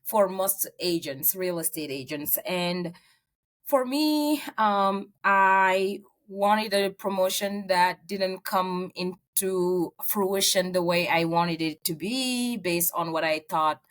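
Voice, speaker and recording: A woman from Senegal with a fairly low voice, talking through her career story as an interview guest. She speaks earnestly, with frequent um and uh fillers. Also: slow. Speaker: real estate agent, guest. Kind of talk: interview answers.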